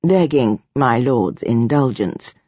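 A woman voicing a deferential yet stubbornly loyal female retainer in an audiobook, quiet, tentative and slightly shaky. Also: very deep voice, animated, slow, husky, no echo, highs cut off, voice pushed down, full character voice.

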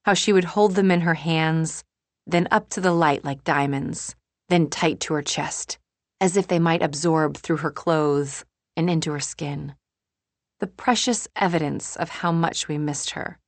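A woman's voice: low voice, measured pace, no echo. A woman reading scripted first-person fiction as an audiobook narrator.

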